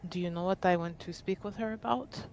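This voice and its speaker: A woman playing a courteous, measured young noblewoman in a tabletop game, speaking formally and carefully. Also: lowish voice, darkish tone, a little hiss or hum, close to an everyday voice, rough recording.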